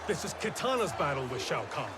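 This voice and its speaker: An actor playing an earnest, resolute warrior in a game cutscene, firm and dramatic. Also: male voice, fairly high pitch, very animated, brisk. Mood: urgent. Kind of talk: video game cutscene acting. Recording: loud game music over the voice.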